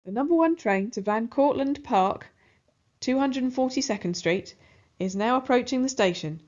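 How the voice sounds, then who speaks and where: A woman's voice: a little high, expressive, normal speed, a little mellow, muffled recording, voice pushed up, natural voice. A woman voicing an artificial, formal train announcement in a children's story. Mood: impersonal.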